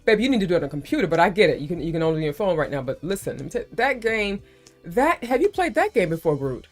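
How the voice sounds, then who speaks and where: Low-pitched woman host, breezy and chatty, faint music underneath. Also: big pitch swings, racing. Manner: chatty, playful, calls others baby. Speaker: livestream host. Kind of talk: casual livestream chat.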